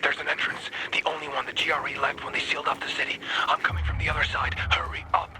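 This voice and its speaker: An actor playing a secretive, pragmatic informant in a video game, talking in a guarded, terse way. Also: male voice, fairly high pitch, little pitch movement, quick pace, very noisy, lightly acted. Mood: hushed, urgent. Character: secretive, pragmatic male informant fleeing a ruined city. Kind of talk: video game cutscene acting.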